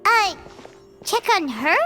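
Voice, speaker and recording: A woman voicing a tiny, childlike female fairy companion in a video game, squeaky, anxious and inquisitive. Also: sing-song, takes it slowly, over music, full character voice. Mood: puzzled.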